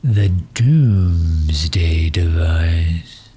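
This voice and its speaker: A man acts out a gentlemanly supervillain for an intimate ASMR audio drama. His voice is very low and husky, delivered slowly with breathy edges. He is wordy and pedantic, gently condescending, and fond of his hero, with hesitant pauses between thoughts. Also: full character voice.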